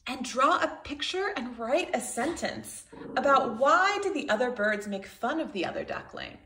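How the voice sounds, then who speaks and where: A woman's voice: on the high side, sharp tone. A woman in her thirties, a kindergarten teacher reading a storybook aloud expressively to young children.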